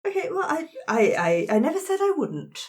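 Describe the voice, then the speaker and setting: A woman playing an earnest, courteous adventurer in a tabletop game, hesitant and gently coaxing. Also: high voice, big pitch swings, moderate pace. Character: polite, earnest, encouraging female adventurer, gently persuasive.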